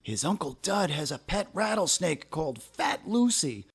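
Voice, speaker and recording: Man voicing snide, gossiping schoolchild, very animated. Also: a little high, unhurried, vocal fry, breathy edge, exaggerated voice. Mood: mocking, gossipy. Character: gossiping, mocking schoolchildren in a picture book.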